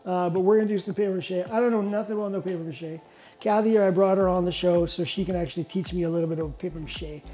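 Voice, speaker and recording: A woman's voice: on the low side, fairly even intonation, fast, mellow, soft background music, poor audio. A woman hosting an unscripted craft demonstration in a jokey, playful way, repeating phrases. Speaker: craft segment host.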